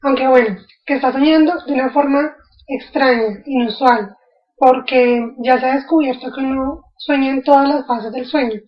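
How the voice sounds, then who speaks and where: A woman's voice: sits high, highs cut off. A woman explaining a topic in an informal video lecture, thinking aloud with fillers and restarts. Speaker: educator.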